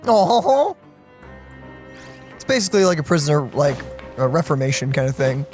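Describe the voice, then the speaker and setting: Lively, higher-pitched man commenting on a game. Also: moderate pace, faint music behind. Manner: informal, musing reactions. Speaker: let's play host. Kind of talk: casual gaming commentary.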